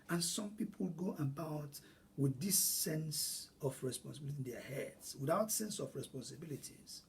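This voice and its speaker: A man's voice: on the high side, a little mellow, a little hiss or hum. A man from Nigeria with a Nigerian accent, a native Igbo speaker, hosting an earnest, emphatic solo talk on money. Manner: earnest, emphatic, repeats phrases for stress. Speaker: host.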